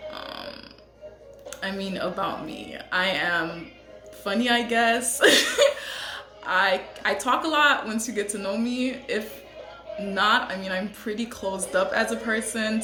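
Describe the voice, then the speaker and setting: A woman's voice: mid-range voice, roomy sound, low-quality recording. A young adult woman who grew up in the United States, a hairstylist chatting casually and laughing often. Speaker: hairstylist and co-host. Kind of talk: casual chat.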